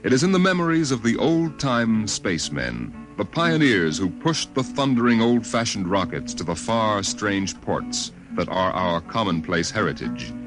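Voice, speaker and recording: A man's voice: on the low side, big pitch swings, normal speed, hardly any pauses. An American man acting as a grave storyteller of a space-age future in a 1950s radio drama, with dramatic, reverent delivery. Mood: solemn, nostalgic. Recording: vintage radio recording, music behind. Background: music.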